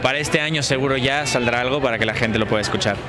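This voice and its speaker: Twenty-something actor amid a loud crowd. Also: male voice, medium pitch, quick pace. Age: 20s. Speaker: actor and singer. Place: outdoor red carpet. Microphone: handheld press mics.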